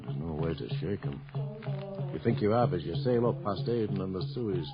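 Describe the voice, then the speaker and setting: An actor playing an excitable, haunted middle-aged army sergeant major, theatrical and hesitant, in a radio drama. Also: male voice, low voice, moderate pace, creaky. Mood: haunted, intense. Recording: dull 1980 radio recording with music.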